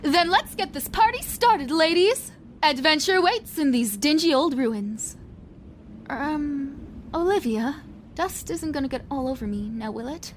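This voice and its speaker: A woman's voice: high-pitched, animated, unhurried, a little hiss or hum. A woman playing a vain, carefree young woman in an audio drama, with a breezy, teasing, theatrical delivery. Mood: dramatic, fussy.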